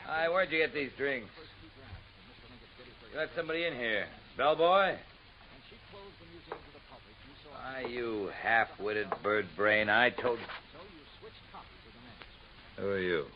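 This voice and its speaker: A man's voice: mid-range voice, slow, pauses often, close to an everyday voice. Casting: male actor as hot-tempered 1950s radio heavy, snarling threats in tough-guy talk, on dull vintage audio. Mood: suspicious, angry. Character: menacing, hot-tempered armed crook, a man. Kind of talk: 1950s radio crime drama acting. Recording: dull, band-limited vintage radio recording.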